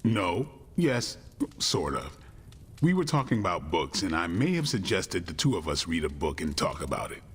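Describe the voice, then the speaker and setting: A man playing a gruff, guarded, bookish vampire-hunter warrior with dry wit, in video game cutscene dialogue. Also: low voice, big pitch swings, normal speed, a bit bright, full character voice. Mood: sheepish, defensive. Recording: long reverb, music underneath.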